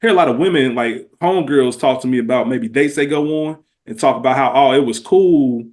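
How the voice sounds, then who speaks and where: This is a man chatting as a podcast co-host. He speaks informally with easy slang, and his voice sits at an average male pitch. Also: a little fast, a little mellow. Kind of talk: casual podcast chat.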